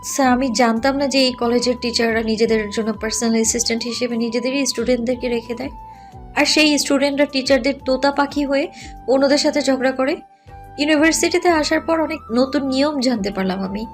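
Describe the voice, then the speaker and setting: Woman doing sassy young college girl, high voice, bold, audio story, faint music behind. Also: steady tone, brisk, close to an everyday voice. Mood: defiant, sarcastic. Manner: sarcastic, unafraid. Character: bold, sharp-tongued young female university student. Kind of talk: dramatised audio story dialogue.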